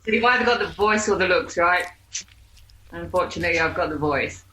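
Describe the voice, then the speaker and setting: A woman's voice: lowish voice, a little fast, pushed and bright, reverberant, poor audio. A woman from New Zealand with a blended New Zealand and Australian accent, a chatty podcast guest telling stories from home. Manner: chatty storyteller, laughs easily, some fillers. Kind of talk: casual interview chat. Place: home with pets nearby.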